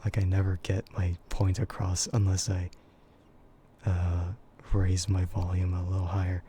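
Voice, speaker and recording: Young male streamer, soft whispery voice, deep and dark in tone, slow and hesitant. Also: completely flat delivery, slight background noise. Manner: hesitant, full of fillers and quiet laughs. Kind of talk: soft-spoken ASMR chat with viewers.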